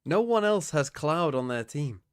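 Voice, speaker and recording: A man's voice: fairly high pitch, lively intonation, a little slow, clean tone, no room sound, clean studio sound. A man streaming live, chatting casually and muttering to himself as he plays. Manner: muttering to himself while playing.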